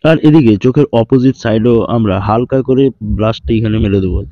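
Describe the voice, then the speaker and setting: Fast-talking man giving a tutorial. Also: medium pitch, no echo. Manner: instructional, repeats each step. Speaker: tutorial host. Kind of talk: step-by-step software tutorial narration. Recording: dull lo-fi voice track with music. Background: background music.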